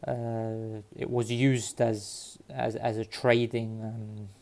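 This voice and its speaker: A man from Cyprus talking casually to his viewers, halting often with fillers and restarts. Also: on the low side, level tone, vocal fry, warm tone. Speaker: vlogger sharing DNA results. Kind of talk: casual talk to camera. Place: London.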